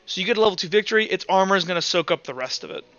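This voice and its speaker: A man's voice: high voice, lively intonation, racing, fairly bright tone, highs cut off. A man narrating a tabletop roleplaying game as game master, in a casual, informal way. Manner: casual, informal, sound-effect-laden descriptions. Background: music.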